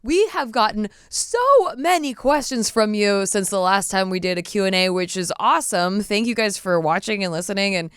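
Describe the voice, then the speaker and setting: A woman hosts a casual podcast, her voice smooth underneath but slightly raspy from a recent bronchitis. She talks chattily and laughs easily. The sound is dry and close, like a studio mic. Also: mid-range voice, moderate pace, few pauses, no room sound. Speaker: podcast host.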